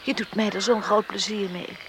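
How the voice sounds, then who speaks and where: A woman's voice: mid-range voice, steady tone, normal speed, background noise, close to an everyday voice. An actress playing an exasperated yet tender young woman in a Dutch radio drama, with an emotive, scolding and teasing delivery. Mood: gentle, reproachful.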